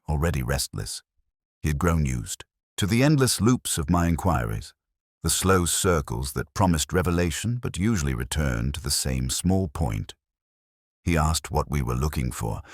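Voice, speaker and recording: A man narrating as a brooding, weary middle-aged detective, in a measured, reflective and wry way. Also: a bass voice, dry recording, studio quality, heavily acted. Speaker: first-person narrator. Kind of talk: scripted radio-drama narration.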